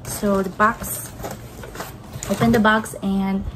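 A woman's voice: mid-range voice, level tone, slow pace, background noise. A young woman from the Philippines, chatting in a casual, upbeat way as she unboxes plants in her home kitchen, speaking to a camera on the table in front of her. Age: young adult. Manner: chatty and upbeat, gushing, talks while handling plants. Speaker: plant vlogger.

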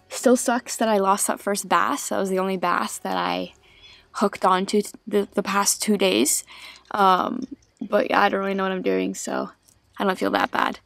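A young woman in a small boat out on a lake, chatting upbeat to her action camera. Her voice has a gravelly edge of vocal fry, and she laughs easily at herself between remarks. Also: mid-range voice, no room sound. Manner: upbeat, chatty, laughs often, self-deprecating. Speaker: fishing vlogger. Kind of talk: casual vlog talk to camera. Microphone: action camera on chest or mount.

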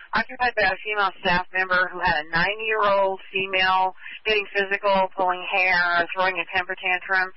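A man dispatcher announcing a request for assistance over the radio, clear and even. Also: high voice, no echo. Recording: dry, muffled radio.

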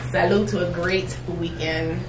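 A woman's voice: fairly low pitch, steady tone, a little slow, reverberant, a little hiss or hum, poor audio. A woman chatting and joking with friends in a hotel room, filmed on a phone. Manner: joking, laughing, handing out drinks. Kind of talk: casual chat with friends.

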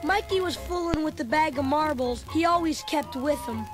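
A woman's voice: high voice, moderate pace, close to an everyday voice. A woman voicing a chubby, food-loving boy who narrates a storybook record with chatty, comic asides. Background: music.

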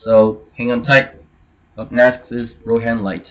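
A man introducing his podcast episode in a relaxed way. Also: mid-range voice, measured pace. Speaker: podcast host. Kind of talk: show intro. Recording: dull lo-fi sound.

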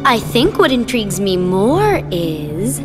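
A woman's voice: mid-range voice, sing-song, slow. A woman playing a sly, haughty young villainess in a video game, teasing and cool. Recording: loud background music.

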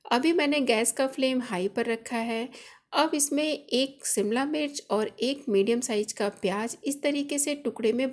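A woman hosting a cooking channel, explaining each step of a recipe in a chatty, instructive voice-over. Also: fairly high pitch. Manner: friendly instructive, chatty home-cook explaining.

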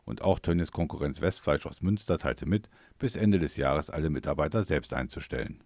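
A male reporter narrates a scripted news piece. His voice is extremely low, recorded dry and without room sound. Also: animated, fairly quick, darkish tone, no echo.

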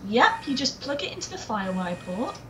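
Young female shop clerk, actress: polite, perky retail pitch, higher voice, noisy home recording. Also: sing-song, a little fast, a bit bright. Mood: perky salesmanship.

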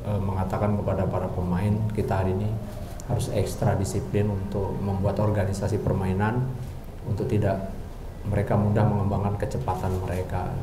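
A middle-aged man, speaking as a football coach at a press conference in a measured, halting way with pauses. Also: low-pitched. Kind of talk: post-match press conference remarks. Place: indoor press conference room. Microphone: handheld microphone. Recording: roomy, noisy press room ambience.

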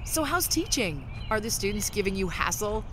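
A woman's voice: medium pitch, very animated, moderate pace, a little hiss or hum, close to an everyday voice. A woman playing a chatty, caring young lawyer in an amateur audio drama. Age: young adult.

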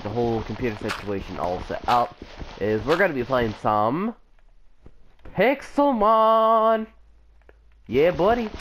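A man's voice: mid-range voice, background noise. A young man rambling casually while playing a game, still sick with a cough and the flu. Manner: rambling, chatty, self-correcting. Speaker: gameplay commentator. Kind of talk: casual let's play commentary.

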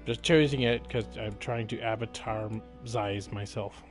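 A man giving casual live gameplay commentary, musing aloud in a jokey, drawn-out way. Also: on the low side, fairly even intonation, faint music behind. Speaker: game streamer.

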